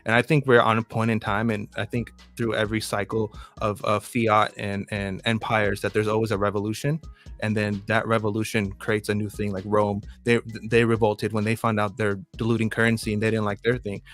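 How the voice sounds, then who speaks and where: A man's voice: fairly low pitch, level tone, brisk, a bit bright, faint music behind. A man co-hosting a casual live stream chat, talking in an earnest, emphatic way with some swearing. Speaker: co-host.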